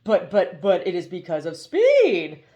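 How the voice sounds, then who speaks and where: A woman's voice: fairly low pitch, wildly swooping pitch, unhurried, dark tone, highs cut off. Woman voicing an emphatic, swooping talkative mechanical bird. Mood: excited lecturing. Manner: emphatic, stuttering, lecturing. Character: brisk, talkative mechanical hoopoe bird, speed-obsessed. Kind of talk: storybook character voice.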